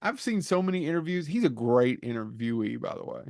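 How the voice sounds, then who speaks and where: A man's voice: mid-range voice, very animated, a little fast, gravelly, a little mellow, no echo. A middle-aged man co-hosts a casual comedy podcast. His intonation swings widely as he jokes bluntly and swears freely. The sound is dry and close, with little room in it. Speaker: podcast co-host.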